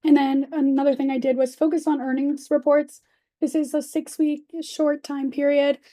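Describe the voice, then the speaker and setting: A woman's voice: high voice, level tone, moderate pace, mellow. A young woman teaching assistant who is losing her voice gives tips to a class in a casual, informal way, using "kind of" and "you know.". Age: young adult. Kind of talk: classroom presentation. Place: lecture classroom.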